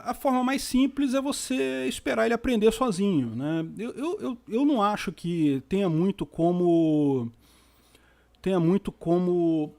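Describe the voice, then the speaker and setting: A man's voice: sits high, darkish tone. Rambling Brazilian man in his fifties. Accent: Rio de Janeiro Portuguese. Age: 50s. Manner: rambling with frequent restarts and fillers; informal, uses slang and mild swearing. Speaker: live stream host. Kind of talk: casual chat answering viewer questions.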